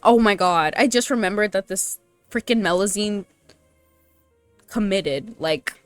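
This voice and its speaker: A young woman gives playful game commentary in a mid-pitched voice. Her talk is casual, slangy and teasing, recorded dry with quiet game music underneath. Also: unhurried, dry recording. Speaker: streamer playing a story game. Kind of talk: casual game commentary, with dialogue read aloud.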